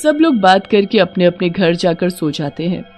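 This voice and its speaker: A woman's voice: fairly low pitch, big pitch swings, normal speed, darkish tone, faint music behind. A woman narrator reading a scripted horror story for a cartoon.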